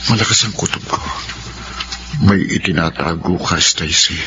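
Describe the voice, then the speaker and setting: Man acting a low-voiced, suspicious Filipino suitor. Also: normal speed, close to an everyday voice. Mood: brooding, determined. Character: suspicious, persistent, determined man pursuing a secret. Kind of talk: Filipino radio drama acting. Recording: muffled, very noisy radio drama recording.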